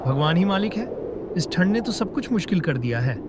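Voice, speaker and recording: Poor pleading cartoon father, high-ish voice, loud noisy track. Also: big pitch swings, brisk. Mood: worried. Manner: pleading, earnest. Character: poor desperate village father, pleading and earnest. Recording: loud background hiss.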